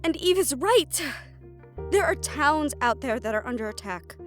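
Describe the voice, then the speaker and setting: A woman acts out a siren-banshee sorceress with a distinctly high voice. She is frustrated and worn thin, sharp-tongued but caring underneath. She speaks straight to the listener in an emotional audio roleplay, with music playing softly behind her. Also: expressive, a little slow. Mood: stressed, worried. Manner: emotional venting, sarcastic and sweary, with heavy sighs.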